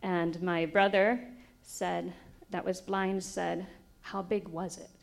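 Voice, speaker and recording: Gravelly-voiced woman telling a story. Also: fairly low pitch, takes it slowly. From Brazil. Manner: animated anecdote, chuckling as she tells it. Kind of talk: storytelling to a church group.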